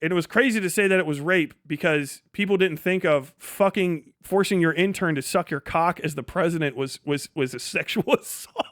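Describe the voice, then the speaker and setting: A man in his forties with a high voice, recorded dry and close to the ear. He rambles irreverently through a casual livestream rant and swears heavily as he goes. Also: normal speed, hardly any pauses, no room sound. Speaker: podcast host and streamer.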